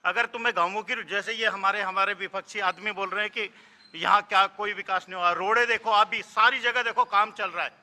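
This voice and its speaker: A man giving his opinion in a street interview as a ruling party supporter, in a halting way. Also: high-pitched, steady tone, sharp tone. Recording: reverberant, echoing sound.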